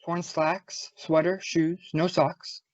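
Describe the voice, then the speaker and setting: Wry male police inspector, played by a woman, low-pitched, clipped radio-drama banter, very dry and slightly dull recording. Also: flat delivery, takes it slowly, darkish tone, no echo. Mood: businesslike. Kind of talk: old-time radio detective drama acting.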